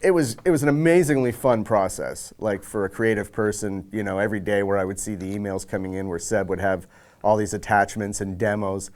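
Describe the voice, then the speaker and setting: A man's voice: lowish voice, steady tone, brisk, a little mellow, a little hiss or hum. A man speaking casually in a making-of interview as an audio director, acting out bits of conversation as he goes.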